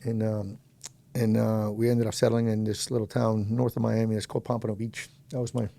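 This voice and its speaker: A man's voice: lowish voice, flat delivery, fairly quick, vocal fry, mellow. A man in his fifties, originally from Colombia, telling his life story as a podcast guest in a rambling, self-deprecating way and laughing easily. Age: 50s. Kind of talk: casual storytelling interview.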